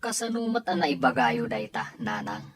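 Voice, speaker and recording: Actress as a lively, concerned Filipino younger sister. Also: female voice, low-pitched, moderate pace. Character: concerned younger sibling confiding in mother. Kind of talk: Ilocano audio drama acting.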